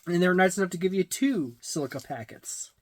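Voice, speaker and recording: A middle-aged man giving a casual, halting, matter-of-fact unboxing and review, recorded in a small room. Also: high voice, expressive, a little hiss or hum. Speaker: tabletop game reviewer. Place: small indoor room with packed shelves.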